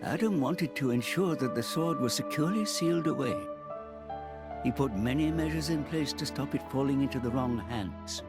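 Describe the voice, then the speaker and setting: An actor playing a solemn, wise old village guardian in a video game cutscene, with a husky voice. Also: male voice, medium pitch, moderate pace, loud music behind the voice, lightly acted. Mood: grave, challenging.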